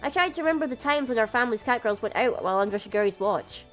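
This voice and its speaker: A woman's voice: a little high, animated, fast, soft background music. A woman hosting a gaming video, reading a visual novel aloud and adding casual commentary.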